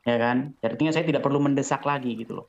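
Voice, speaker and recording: A man's voice: mid-range voice, fast talker, gravelly. A man in a heated online debate, arguing insistently and pressing his case. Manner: argumentative, insistent. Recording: dull lo-fi audio.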